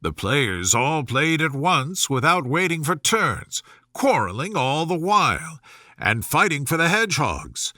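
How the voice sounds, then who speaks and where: A man reads a children's story as narrator, expressive and animated. His voice is fairly high and very bright, recorded close and dry. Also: unhurried, dry recording.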